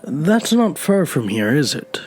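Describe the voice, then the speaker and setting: An actor playing a lonely elderly man who was once homeless, with a hesitant, gentle, wry way of talking in an audio drama. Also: a little high, big pitch swings, a little slow. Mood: polite, welcoming. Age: older. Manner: hesitant, reflective. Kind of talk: naturalistic audio drama dialogue.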